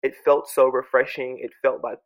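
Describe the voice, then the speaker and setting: A congested man journaling aloud at home into his microphone, speaking softly and earnestly, circling back and restarting his sentences as he reflects. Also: medium pitch, flat delivery, normal speed, dull audio. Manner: soft, earnest, musing with many restarts. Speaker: podcast host journaling aloud. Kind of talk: reflective personal audio journal. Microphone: own studio microphone.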